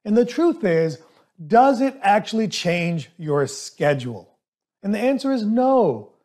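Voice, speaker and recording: High-voiced, emphatic male podcast host. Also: a little slow. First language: not English. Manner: emphatic, animated, coaching tone. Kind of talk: solo podcast monologue.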